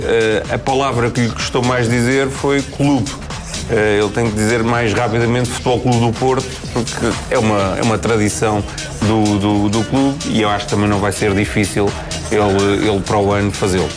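A man with a European Portuguese accent commenting on football in a panel discussion, halting and often restarting his phrases. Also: fairly low pitch, fairly even intonation, music in the background.

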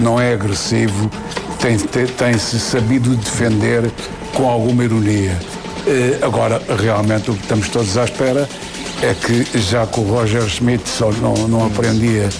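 A man with a European Portuguese accent weighing in on a football panel, deliberate and colloquial. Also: mid-range voice. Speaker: football commentator. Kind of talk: panel discussion.

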